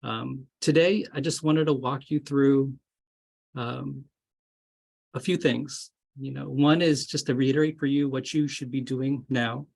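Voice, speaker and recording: Gravelly-voiced man on a webinar call. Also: mid-range voice, steady tone, measured pace, darkish tone. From grew up moving around, largely Florida. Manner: friendly, rambling, many fillers and restarts. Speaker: associate dean speaking to incoming students. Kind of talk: casual webinar talk. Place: home or office, indoors. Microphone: video call.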